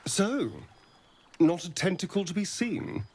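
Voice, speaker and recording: A man's voice: high voice, animated, a little slow, a little mellow, breathy edge, soft background music, exaggerated voice. A man playing a suave, sardonic vampire rogue in a fantasy drama, with a theatrical, silky, teasing delivery. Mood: sardonic menace. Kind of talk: cinematic fantasy game-style acting.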